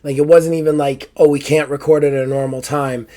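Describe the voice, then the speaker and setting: A man hosting a casual podcast, hoarse and raspy after an illness, joking and swearing freely. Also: a little high, little pitch movement, a little fast, warm tone. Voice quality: raspy, strained throat. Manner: joking, profane, self-mocking. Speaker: podcast host.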